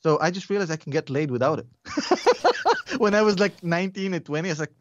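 A man in his forties with a thick Russian accent, a podcast guest talking in a rambling, animated way and laughing often. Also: sits high, a little fast, muffled recording, a little hiss or hum. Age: 40s. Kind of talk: casual interview chat.

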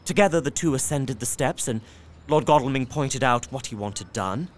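A man narrating as a steady, earnest Victorian journal writer. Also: medium pitch, moderate pace, lightly acted. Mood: tense. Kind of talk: audio drama narration.

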